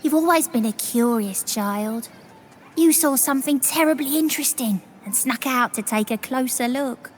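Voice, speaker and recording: A woman voicing a gentle, caring servant in a video game, soothing and softly admonishing. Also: high voice, moderate pace, slight background noise, lightly acted. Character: gentle, caring female servant minding a young miss.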